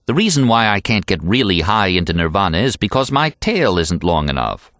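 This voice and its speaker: A man's voice: deep voice, sing-song, fairly quick, a bit bright, no room sound, clean studio sound. A man voicing an earnest, pondering American friend in an audiobook, serious and musing. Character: earnest, pondering young male Buddhist friend.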